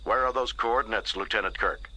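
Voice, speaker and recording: A man's voice: lowish voice, fairly quick, slight background noise, pitched above the performer's own voice, poor audio. A man voicing a stern, urgent starship captain over a communicator radio in an audiobook. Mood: urgent, commanding. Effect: radio communicator filter. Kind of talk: dramatised audiobook dialogue. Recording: radio-filtered voice under loud music.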